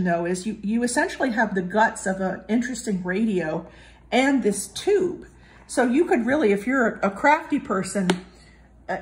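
A woman giving a casual hands-on walkthrough as a hobbyist reviewer, explaining things hesitantly and often rephrasing. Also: medium pitch. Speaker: hobbyist reviewer presenting an electronics kit.

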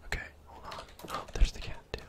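A young man doing whispered ASMR game commentary in a breathy, unvoiced voice, murmuring to himself as he plays indoors. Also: a little fast. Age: young adult. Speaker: gaming streamer. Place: indoor room at home.

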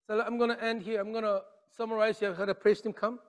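A middle-aged man preaching on a church stage, gesturing widely and swinging from loud declarations to quiet near-whispers, in English with dropped articles. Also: high-pitched, little pitch movement, fast. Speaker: pastor. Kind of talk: sermon. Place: church stage with drums and podium. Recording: long reverb of a church hall.

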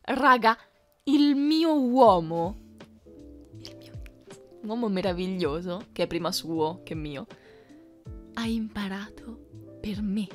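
A young woman answering questions in a casual, playful and expressive chat. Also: medium pitch, slow pace, long pauses, faint music behind. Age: young adult. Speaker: dubbing content creator answering fan questions.

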